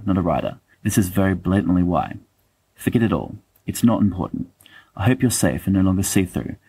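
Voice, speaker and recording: Guy reading fiction letters, husky n dark voice, dry close sound, kinda hesitant and bookish. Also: low voice, no echo, rough recording. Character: awkward, bookish young man writing a love letter. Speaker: volunteer audiobook reader.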